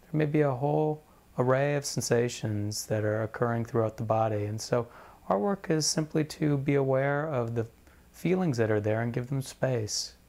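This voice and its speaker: A man with a mid-pitched voice guides a meditation practice. He speaks like an instructor, in measured, deliberate phrases. He leaves space between one phrase and the next. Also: creaky, darkish tone. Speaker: meditation teacher.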